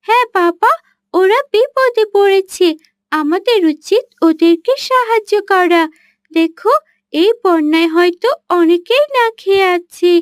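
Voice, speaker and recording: Casting: woman to play kind-hearted cartoon daughter, extremely high voice, earnest and caring. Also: a little slow, dry recording, studio quality. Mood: earnest concern. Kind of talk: cartoon voice acting.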